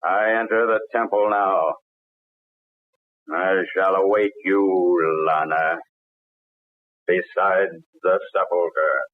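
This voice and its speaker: An actor playing a menacing ancient emperor in a stolen body, formal and imperious in old-time radio drama style. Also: male voice, low voice, fairly even intonation, slow, long pauses, a little hiss or hum. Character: menacing ancient male emperor possessing a sea captain's body. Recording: tinny band-limited old radio recording.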